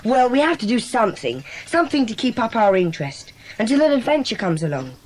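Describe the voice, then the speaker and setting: A woman's voice: fairly high pitch, big pitch swings, a little mellow, poor audio. A woman playing a bossy, eager schoolboy who leads a children's club in a dramatised audiobook. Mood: brisk, bossy. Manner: brisk, commanding, organising.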